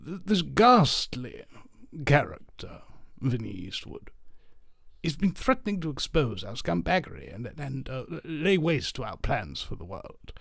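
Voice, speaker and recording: A man's voice: mid-range voice, big pitch swings, measured pace, gravelly, dry recording, studio quality, exaggerated voice. Villain bit: a man playing a whispering male globalist plotting in the shadows. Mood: scheming. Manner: hushed conspiratorial whisper.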